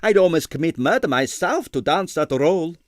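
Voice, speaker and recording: A cocky young male dancer, acted by a narrator, breezy and stubborn. His pitch sits high and moves about freely, in a dry storybook recording. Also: sing-song, brisk, no echo. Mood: cheerfully obstinate. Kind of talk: audiobook character voice.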